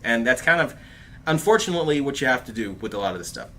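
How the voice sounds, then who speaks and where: A man hosting a casual livestream, talking in an animated, chatty way with plenty of fillers and calling his viewers bro and dude. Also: medium pitch, quick pace, a little hiss or hum. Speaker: host of a TV review livestream. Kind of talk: casual livestream chat reading viewer comments.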